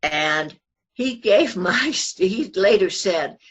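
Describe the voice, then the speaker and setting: A woman's voice: medium pitch, expressive, slow, breathy edge, highs cut off. An older woman talking casually and fondly as an interview guest, sharing memories. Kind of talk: fond reminiscing in a casual interview.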